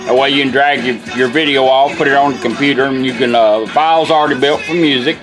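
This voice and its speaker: A man's voice: mid-range voice, fairly even intonation, fast, rough recording. Folksy man over music. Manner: folksy, plain-spoken, instructional. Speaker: vintage car radio technician demonstrating a product. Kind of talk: casual hands-on product demonstration. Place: indoor workshop bench. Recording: music and radio playing behind. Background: music from the demonstrated radio.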